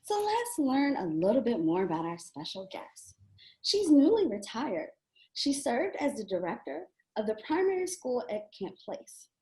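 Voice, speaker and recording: Woman host, bright and welcoming, rather high voice, lively pitch, echoey room. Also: moderate pace. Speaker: club president and host. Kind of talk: hosting an online reading series interview.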